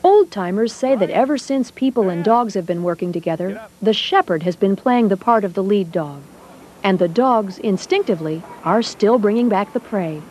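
A woman reading scripted documentary narration in a measured, even way. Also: mid-range voice, a little hiss or hum.

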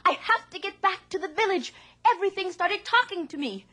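A woman's voice: very high voice, lively intonation, fairly quick, pushed and bright, pitched above the performer's own voice, exaggerated voice. A woman voicing a terrified farmer who babbles about everything talking to him. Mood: panicked. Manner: breathless, panicked wailing. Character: frightened male farmer, panicky.

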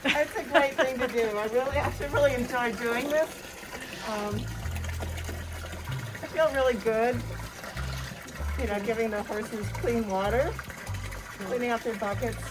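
An older woman chatting casually through a cloth mask as she explains stable chores, in halting, unhurried phrases. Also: on the high side, background noise. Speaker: stable worker. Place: horse stable stalls and yard. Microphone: phone camera a few feet away, speaking through cloth mask.

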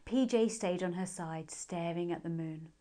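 A woman's voice: on the low side, measured pace, mellow. A woman with a British accent reading storybook narration aloud expressively for children.

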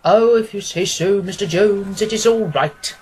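A man's voice: sits high, unhurried, a little hiss or hum, low-quality recording. A man voicing a solemn, respectable bank director in a storybook, mournful and stiffly formal. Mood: stiff, deferential.

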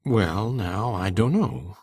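Husky, very deep, shy old farmer. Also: female voice, lively intonation, a little mellow, full character voice. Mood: hesitant, mild. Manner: slow, halting, plain-spoken. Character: shy, slow-spoken older bachelor farmer, gentle and hesitant. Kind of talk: dramatic audiobook reading.

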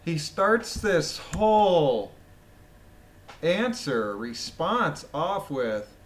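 A man with a phlegmy voice reading a document aloud with casual, incredulous, mocking commentary, often clearing his throat. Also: fairly high pitch, big pitch swings, extremely slow. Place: voice-over of a screen recording.

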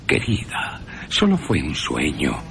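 Man as an earnest, deep-voiced young fiancé. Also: wildly swooping pitch, slow pace, fairly bright tone, faint music behind, exaggerated voice. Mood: concerned. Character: earnest young fiancé, protective of his beloved.

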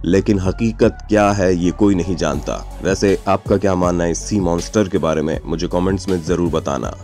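A man's voice: low voice, normal speed, darkish tone. A man reading scripted narration for an online video, addressing the listener directly as he tells it. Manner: addresses listeners with rhetorical questions. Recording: music under the voice. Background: background music.

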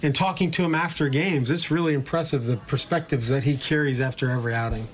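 A middle-aged man, a baseball manager, answering reporters' questions after a game in a measured way, with frequent fillers and restarts. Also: mid-range voice, steady tone, noisy background. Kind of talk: postgame press conference answers. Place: indoor press conference room.

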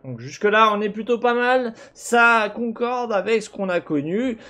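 A man's voice: high-pitched, normal speed. A young man hosting a gaming video, commenting over gameplay with lots of fillers and restarts, on a headset mic. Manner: animated, talks with his hands, many fillers and restarts. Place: home room with gaming chair.

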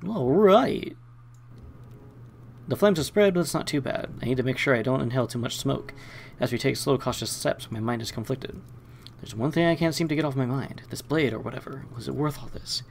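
A man's voice: mid-range voice, lively intonation, fairly quick, vocal fry, slight background noise. A man hosting a let's play, reading game narration aloud with short asides.

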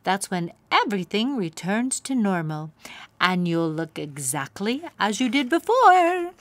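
A woman voicing a kindly old fairy godmother mare who speaks in a high, grand, emphatic way. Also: sing-song, measured pace, no echo. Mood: warning.

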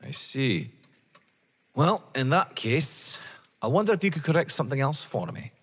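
Hall reverb, male actor as police inspector, polite, persistent, animated intonation, audio drama. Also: mid-range voice, slightly rough. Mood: insistent, polite. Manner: deferential, probing, measured.

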